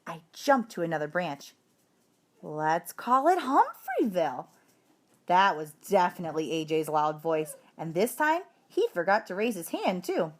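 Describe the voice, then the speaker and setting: A woman's voice: on the low side, animated, moderate pace, hardly any pauses. A woman narrating a children's storybook expressively, as a story reader.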